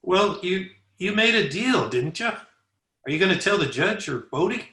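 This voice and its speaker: A man playing an eager, cheeky young nephew in an excitable 1940s radio comedy style. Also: high-pitched, a little slow, heavily acted. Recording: roomy home remote recording.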